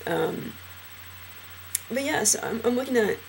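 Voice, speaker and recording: A young transgender man in a casual personal vlog, talking hesitantly with many fillers and restarts, his trained low voice deepened further by a cold. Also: expressive, measured pace, vocal fry, slight background noise. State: voice lowered by illness.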